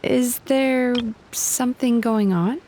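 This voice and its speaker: A woman voicing a friendly, composed yokai therapist in a video game, polite, measured and reassuring. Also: on the high side, dark tone, a little hiss or hum. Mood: composed, businesslike. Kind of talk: scripted video game dialogue.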